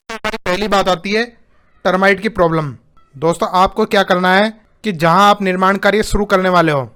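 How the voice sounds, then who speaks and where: A man's voice: high-pitched, fairly bright tone, smooth. A man with a sore throat hosting a home-building tips channel, explaining steadily and often addressing viewers as friends. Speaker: YouTube host giving home-building tips. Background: background noise.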